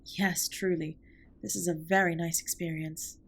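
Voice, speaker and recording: A woman's voice: on the low side, flat delivery, moderate pace, creaky. A woman playing a formal, reserved but tender alien spaceship captain in a scripted comfort audio roleplay, speaking with precise, stilted politeness. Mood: earnest, shy.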